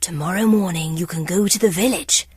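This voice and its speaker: A woman voicing a helpful collie dog in a storybook, measured and reassuring. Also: on the low side, darkish tone, breathy edge, no echo, clean studio sound, voice pushed down.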